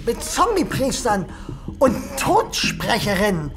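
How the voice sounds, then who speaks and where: Excitable, superstitious male guard, swinging pitch, horror audio drama. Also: takes it slowly, a crowd in the background, heavily acted. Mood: spooked, eerie. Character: superstitious, jittery male night watchman.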